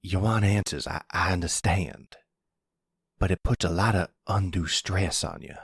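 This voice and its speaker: A man playing a protective, calm older mafia grandfather in an ASMR roleplay, speaking gently in a folksy way. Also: low-pitched, a little slow, slightly rough, no room sound, studio quality, full character voice. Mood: concerned, steady. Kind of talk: ASMR boyfriend roleplay acting.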